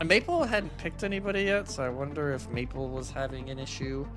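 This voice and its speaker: A man giving conversational, explanatory analysis as a live esports shoutcaster. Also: medium pitch, brisk. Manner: casual, explanatory. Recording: music behind the voice. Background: music.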